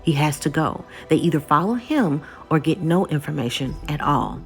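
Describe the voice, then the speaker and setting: A woman's voice: deep voice, expressive, normal speed, faint music behind. A woman narrating a scripted episode recap as the host of a video channel.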